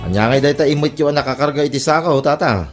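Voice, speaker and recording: A man's voice: a little high, quick pace, loud music behind the voice, close to an everyday voice. A man with an Ilocano accent playing an industrious, good-natured village farmer in a comedy drama. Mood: curious, friendly. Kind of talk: comedy radio-style drama acting.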